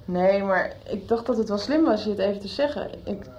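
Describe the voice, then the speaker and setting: A woman's voice: medium pitch, fairly quick, darkish tone, dull audio, natural voice. A woman playing an inquisitive, loyal schoolgirl in a Dutch radio soap, asking insistently. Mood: confiding, curious. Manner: questioning, insistent. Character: inquisitive, loyal young schoolgirl, somebody's little sister. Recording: 1990s radio soap production.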